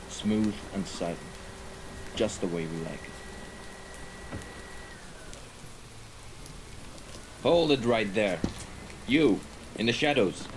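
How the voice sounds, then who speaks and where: A man playing a composed, menacing mafia right-hand man in an audio roleplay, speaking in a formal, measured way. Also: low voice, a little mellow, slight background noise, close to an everyday voice. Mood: stern, commanding. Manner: formal, measured, deliberate wording. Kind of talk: scripted audio roleplay acting. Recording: rain and ambience behind the voice.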